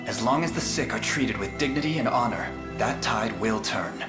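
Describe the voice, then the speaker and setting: A man playing a noble young prince who leads an army in a video game, speaking in a measured, earnest, formal way. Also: mid-range voice, lively intonation, close to an everyday voice. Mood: earnest resolve. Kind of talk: video game cutscene acting. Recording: echoey, loud music behind.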